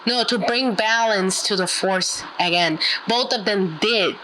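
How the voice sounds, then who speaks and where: A woman whose first language is Spanish, chatting casually, animated and giggly, often stumbling over her words. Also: mid-range voice, a little slow, slight background noise, rough recording. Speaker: podcast co-host. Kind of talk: casual chat.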